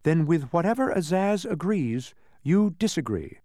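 An earnest, thoughtful storybook boy, voiced by a man. The pitch is raised well up and moves in lively swings. He sounds politely persistent, in a dry recording. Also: unhurried, no echo. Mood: careful, reasoning.

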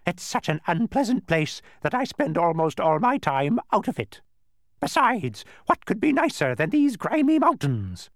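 Storybook villain-pest: a filthy old bird, high shrieking, wildly swooping, haughty and gleefully pedantic. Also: male voice, sing-song, moderate pace, dry recording, studio quality. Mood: scornful.

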